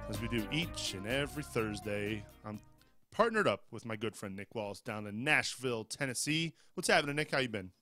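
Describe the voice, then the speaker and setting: A man's voice: medium pitch, expressive, a little fast, bright, forceful tone, faint music behind. A man co-hosting a casual tech news podcast, reading headlines in a conversational way with some fillers, just over a cold. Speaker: podcast co-host.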